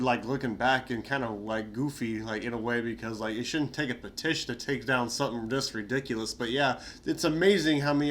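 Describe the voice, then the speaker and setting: A man's voice: mid-range voice, fairly bright tone. A young man with a stuffy, nasal voice from a cold, sounding tired and chatting casually in a rambling, slangy way with lots of fillers. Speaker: YouTuber. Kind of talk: casual commentary on reddit stories.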